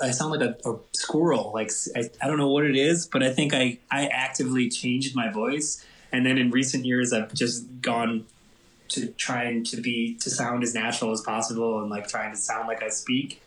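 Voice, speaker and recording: A man's voice: mid-range voice, steady tone, moderate pace, a bit bright, reverberant, rough recording. A man, a musician guest, chatting casually and self-mockingly, with lots of restarts. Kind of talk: casual interview chat.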